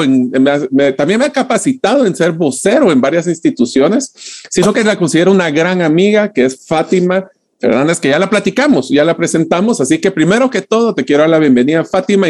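A man's voice: on the high side, darkish tone. A podcast host. He reads out a guest's background, then chats with her. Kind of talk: podcast interview.

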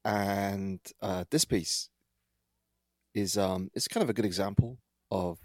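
A man with a low voice talks slowly and casually about his writing. He is hesitant, with frequent pauses between short phrases. The recording is dry, with no audible room. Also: no echo. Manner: hesitant, pausing often. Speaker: writer and podcaster, featured artist. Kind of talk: casual spoken explanation.

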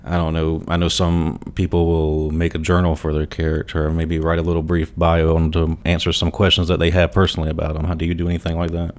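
A man's voice: deep voice, completely flat delivery, quick pace, hardly any pauses. A man hosting a podcast, asking curious questions in a casual way. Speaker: podcast host. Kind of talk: interview conversation.

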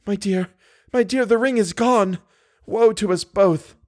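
Guy doing an anxious young lady singer from a storybook, high voice, breathy drama, pleading and scared. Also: lively intonation, fairly quick, a little mellow, dry recording, studio quality, lightly acted. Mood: distraught.

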